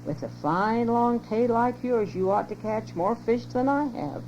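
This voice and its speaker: An older woman reading aloud, voicing a sly, smooth-talking old male fox in a storybook, on a lo-fi home cassette tape with hum. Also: on the high side, lively intonation, unhurried, darkish tone, voice pushed up. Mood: coaxing.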